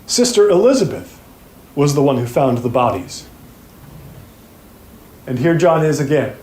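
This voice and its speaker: A male actor plays a grave courtroom lawyer making a formal opening statement for an audio drama. He speaks slowly and deliberately, with solemn weight. The room rings with clear echo around his voice. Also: a little high, sing-song, darkish tone, slight background noise. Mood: grave, frustrated. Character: sombre male plaintiffs' trial lawyer addressing a jury. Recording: echoey room.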